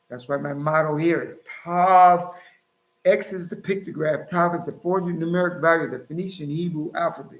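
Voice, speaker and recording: A man's voice: on the high side, roomy sound, low-quality recording. A man preaching and reading scripture in a lecturing, emphatic way, now and then jumping into mocking voices. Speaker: Hebrew Israelite teacher.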